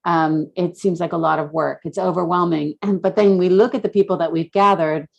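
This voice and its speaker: A woman's voice: on the low side, steady tone, brisk, vocal fry, highs cut off. A woman speaking casually and reflectively as a guest in a panel talk, often searching for her words. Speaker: art gallery director and curator, guest speaker.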